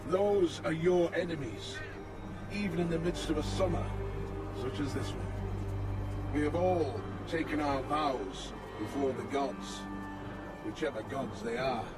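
Stern old male commander, played by an actor, fantasy game, slow formal oratory, fairly high-set voice, music behind. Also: natural voice. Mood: stern, solemn. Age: older. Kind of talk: dramatic fantasy game acting.

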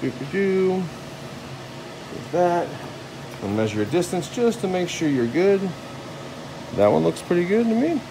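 Tired guy joking around building stuff in his garage, kinda high voice, phone mic, loud hum behind. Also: big pitch swings, measured pace, pauses often. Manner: joking, self-mocking, talks while working. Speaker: vlogger building a squirrel table. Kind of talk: casual how-to woodworking vlog. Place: home garage workshop. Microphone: handheld phone camera.

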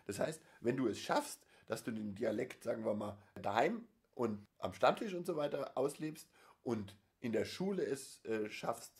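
A man in a relaxed podcast conversation, his voice sitting at an ordinary middle pitch. He wanders through his points with dry humour, stopping often on fillers and restarts. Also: creaky. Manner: rambling, dry jokes, many fillers and restarts. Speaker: podcast co-host. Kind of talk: casual chat.

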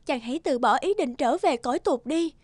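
A gentle, solemn fairy wife. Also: female voice, high voice, expressive, a little fast, no echo. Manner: soft, formal and archaic. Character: gentle young fairy wife, grave and devoted. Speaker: voice actor. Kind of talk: cartoon dubbing.

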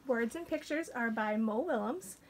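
A woman's voice: fairly high pitch, animated, moderate pace. A woman in her thirties, a kindergarten teacher introducing a storytime for young children at home. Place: small furnished living room, indoors. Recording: some room noise behind.

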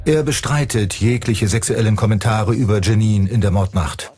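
A man's voice: lowish voice, moderate pace, darkish tone, husky. A man reading scripted true-crime documentary narration as the narrator. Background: music.

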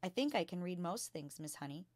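A woman's voice: fairly low pitch, animated, a little fast, no room sound. Lady voicing a smart five year old girl, polite n modest, storybook read, dry sound. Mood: earnest, polite.

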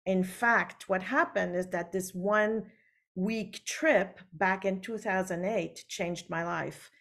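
A woman from Switzerland whose first language is French, an author talking over a video call from her book-lined study, unhurried and full of fillers. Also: medium pitch, fairly even intonation, gravelly, a bit bright. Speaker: author guest. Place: home study with full bookshelves. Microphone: laptop webcam on video call.

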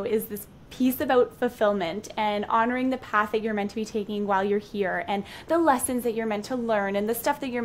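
A woman's voice: fairly high pitch, a little hiss or hum. A young woman hosting a podcast over a video call, enthusiastic and affirming, chatting casually with lots of "you know". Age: young adult. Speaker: podcast host. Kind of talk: casual interview conversation. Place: indoor room. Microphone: headset on video call.

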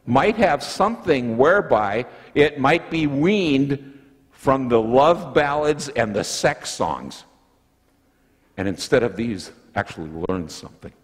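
A man's voice: medium pitch, takes it slowly. Older guy preaching in a church, folksy storytelling, swoops up and down a lot, echoey hall. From United States. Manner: lively storytelling, folksy asides. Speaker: pastor. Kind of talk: sermon with object lesson. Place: church sanctuary, indoors. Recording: long church reverb, slightly husky sound.

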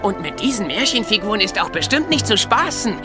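A woman's voice: medium pitch, very animated, a little fast, music in the background, close to an everyday voice. A woman voicing a greedy, naive little talking tomcat, eager, in a children's radio play. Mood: earnest.

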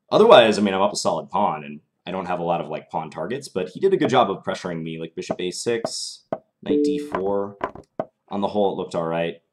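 A young man with a mild Minnesota accent, streaming live and thinking aloud through his chess moves in a small room. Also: on the low side. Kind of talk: live chess commentary while playing. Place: small indoor room at home.

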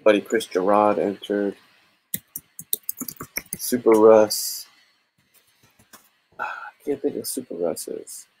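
A man's voice: on the low side, steady tone, slow pace, pauses often, a little mellow, rough recording. A man in his thirties hosting a casual comic-collecting stream from a small room at home, chatting and counting entries aloud into a webcam mic. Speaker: YouTube comic channel host. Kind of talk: casual livestream raffle chat.

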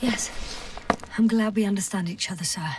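An actress playing a weary, stubborn scientist in a video game, speaking in a breathy, halting, wry way. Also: female voice, medium pitch, steady tone, recorded from a distance, heavy background noise, lightly acted. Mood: insistent, guarded. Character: weary, stubborn female space-mission scientist exploring a planet. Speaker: game protagonist, doctor on a space crew. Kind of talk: naturalistic video game voice acting.

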